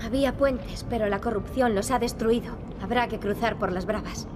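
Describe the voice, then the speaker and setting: A woman's voice: on the high side, normal speed, background noise, lightly acted. A woman with a Castilian Spanish accent playing an earnest, determined young princess in a video game. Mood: solemn, earnest. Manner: earnest, serious. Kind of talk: video game acting, European Spanish dub.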